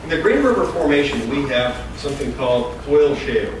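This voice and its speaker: A man's voice: mid-range voice, lively intonation, brisk. A man in his thirties answering a town hall question, emphatic and listing points in runs, recorded from a far camera in a large hall. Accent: American. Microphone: far camera, no mic seen. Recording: echoey hall from far off, hiss.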